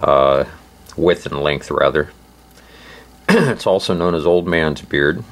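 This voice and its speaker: An extremely low-pitched male voice, hoarse as if recovering from laryngitis. He explains things informally, like a hobbyist host, at a slow pace. His delivery is halting, with many pauses between short phrases. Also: expressive. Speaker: host of a survival channel. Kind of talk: informal instructional narration.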